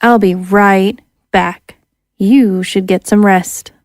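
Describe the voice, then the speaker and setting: A woman's voice: mid-range voice, fairly even intonation, slow, dry recording, studio quality, lightly acted. An actress playing a tough, wisecracking female alien space smuggler with brash, slangy talk.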